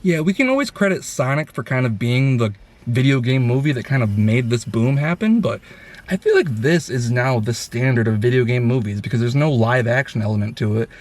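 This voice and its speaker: A man's voice: mid-range voice, lively intonation, brisk, a little mellow. A man hosting a casual film review chat, talking in an enthusiastic, effusive way.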